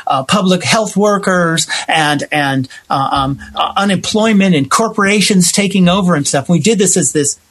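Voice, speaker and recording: A man's voice: sits high, a bit bright, breathy edge. A man being interviewed as a theater writer and director, talking conversationally and expressively, acting out imagined quotes as he tells stories.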